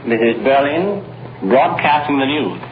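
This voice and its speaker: A noisy, dull shortwave recording from the 1940s carries a male newsreader. He has a mid-pitched voice and delivers a scripted bulletin in a formal, measured way. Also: expressive. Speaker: radio newsreader.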